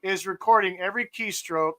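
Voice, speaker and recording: A man with a high, bright voice talks over current events on a casual podcast. He rants and curses with emphasis and keeps going at length. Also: fairly quick. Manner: profane, ranting, emphatic. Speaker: podcast host. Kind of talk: casual political chat.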